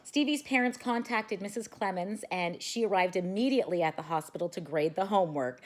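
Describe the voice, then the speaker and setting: A woman reading a children's picture book aloud with expressive storytelling. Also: lowish voice, fairly quick.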